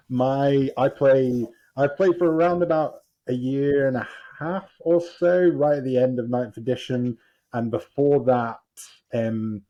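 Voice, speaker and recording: A man hosting a casual podcast chat in a relaxed way, with chuckling asides. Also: mid-range voice, lo-fi sound. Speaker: podcast host.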